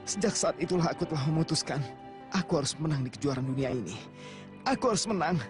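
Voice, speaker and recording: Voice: mid-range voice, lively intonation, quick pace, over music, lightly acted. An actor as an earnest young football player. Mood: solemn, determined. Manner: earnest, resolute. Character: earnest, determined young boy football captain. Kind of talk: dubbed anime drama.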